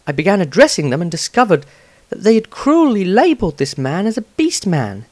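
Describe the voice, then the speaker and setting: A man's voice: sits high, huge pitch swings, a little fast, a little mellow, no room sound, voice pushed up, full character voice. A man voicing an earnest, indignant young trainee priestess reading out her diary in a fantasy tale. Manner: prim, indignant storytelling.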